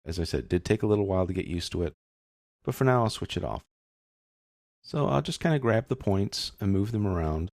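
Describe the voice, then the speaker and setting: A man with a deep voice and a noticeable fry explains software steps as an instructor. His delivery is informal and explanatory, like someone walking a learner through each click. The recording is dry, with no room sound. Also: lively intonation, a little fast, no room sound. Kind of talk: casual step-by-step screen tutorial narration.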